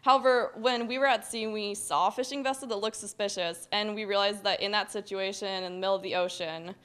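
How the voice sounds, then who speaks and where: A young woman with a smooth, resonant voice stands at a podium in a lecture hall and lays out her group's recommendations. Her pitch sits in the middle, and she talks steadily and earnestly, restarting a word now and then. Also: steady tone, a little fast, a bit bright. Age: young adult. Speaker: student presenter. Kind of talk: academic presentation.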